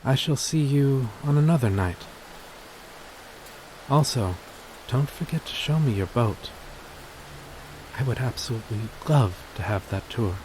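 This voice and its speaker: A man playing a courteous, lonely vampire in an ASMR roleplay, speaking softly and formally with hesitant pauses. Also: mid-range voice, lively intonation, noisy background, lightly acted. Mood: courteous, fond. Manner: soft-spoken, formal, polite, hesitant pauses. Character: gentle, courteous old-souled male vampire, lonely former fisherman. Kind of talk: ASMR roleplay audio drama.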